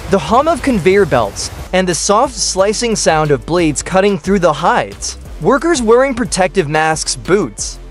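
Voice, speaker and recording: Lively high-voiced male documentary narrator. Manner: steady explanatory delivery in short listed phrases. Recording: voice-over with faint background music.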